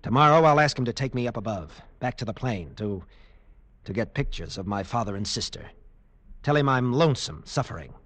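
An actor playing a haunted, defiant captive lieutenant, telling his ordeal with grave intensity and dramatic pauses in an old radio drama. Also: mid-range voice, animated, fairly bright tone, dry recording. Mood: urgent, defiant. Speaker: narrator and protagonist. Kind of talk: dramatic first-person radio narration, 1940s-50s.

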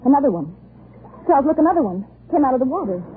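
A woman's voice: high voice, big pitch swings, fast, a little hiss or hum. Young lady on tinny old radio. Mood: alarmed. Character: young lady, sharp-witted, growing frightened and frantic. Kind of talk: 1940s radio acting.

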